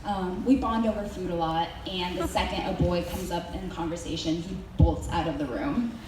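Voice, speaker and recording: Young woman from Mexico, student presenter, lots of ums, wry humor, heard from far off in a booming echoey hall. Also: normal speed, background noise. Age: young adult. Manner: hesitant with many ums, wry joking asides. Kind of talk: senior presentation to a school audience.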